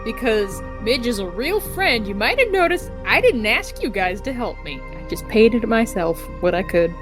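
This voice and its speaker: A woman's voice: a little high, very animated, normal speed, over music. A woman playing a tabletop game, bantering casually with the other players.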